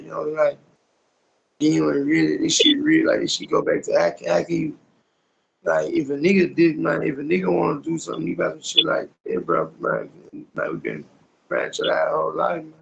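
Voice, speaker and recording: A young American man with a very gravelly, crackling voice that sits a bit high. He talks in casual, slang-heavy street talk on a phone video call, repeating phrases emphatically and tacking questions onto his sentences. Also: lo-fi sound. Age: young adult. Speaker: rapper being interviewed. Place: small indoor room, on a video call. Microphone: phone at arm's length on video call.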